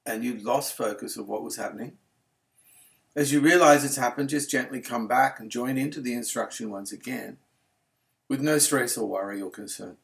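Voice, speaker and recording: A man guiding a relaxation meditation as a yoga nidra instructor, speaking in a calm, soothing, unhurried manner with gentle phrasing. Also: medium pitch, vocal fry.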